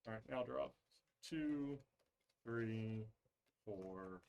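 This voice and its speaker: A man's voice: mid-range voice, extremely slow, creaky, a little mellow, smooth, highs cut off. The fellow across the game table who knows the rulebook, a man casually clarifying each card as he goes. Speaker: board game player explaining card rules. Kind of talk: casual tabletop play chat.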